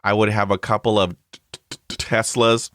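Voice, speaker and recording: A man's voice: on the low side, level tone, moderate pace, fairly bright tone, no room sound, soft background music. A man hosting a podcast interview, stuttering heavily with blocks and repeated sounds, upbeat and chatty with lots of fillers. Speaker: podcast host. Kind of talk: conversational interview.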